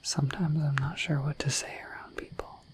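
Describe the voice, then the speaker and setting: A woman voicing a shy, affectionate dorky dragon in an ASMR roleplay, speaking in a gentle, hesitant, breathy whisper. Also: low-pitched, fairly even intonation, fairly quick, lightly acted. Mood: shy, sincere. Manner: gentle, hesitant, slightly bashful, intimate.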